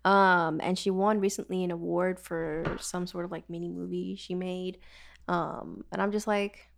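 Woman rambling through a podcast chat, gravelly, lots of ums. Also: on the low side, fairly even intonation, measured pace. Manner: chatty, rambling, many fillers. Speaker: podcast co-host. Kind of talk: casual chat.

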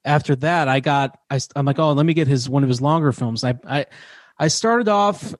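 A man's voice: fairly high pitch, normal speed, dry recording. Chatty man, dry close sound. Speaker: podcast host. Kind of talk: casual conversational interview.

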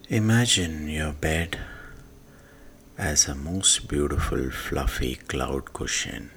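A man guiding a sleep meditation in a hushed manner, leaving long pauses and letting phrase endings fade into breath. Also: very deep voice, lively intonation, slight background noise. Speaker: meditation guide. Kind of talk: slow guided sleep meditation. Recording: soft music behind the voice. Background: soft music.

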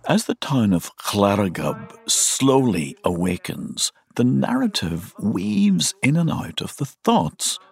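Gravelly, expressive male narrator. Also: lowish voice, slow pace, hardly any pauses. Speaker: podcast host and narrator. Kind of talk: scripted narration.

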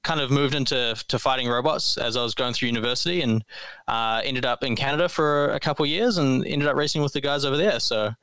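A young man from New answers interview questions in an easygoing, modest way, full of ums. His voice is bright and crisp. The sound is close and dry. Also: medium pitch, quick pace, no room sound. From New Zealand. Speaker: podcast guest.

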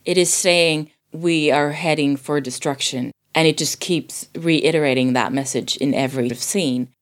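Lady with a deepish voice, casual film chat, earnest and reflective. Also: a little slow. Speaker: podcast guest discussing film.

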